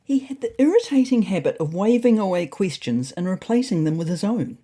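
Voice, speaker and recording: A woman's voice: medium pitch, lively intonation, a little fast, mellow, slightly rough. A woman narrating a scripted first-person story in a wry, dry manner.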